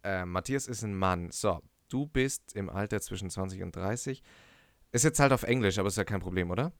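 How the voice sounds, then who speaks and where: A young man co-hosting a casual podcast chat in German, halting and restarting often and laughing easily. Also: low voice, no echo, studio quality. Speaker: podcast co-host.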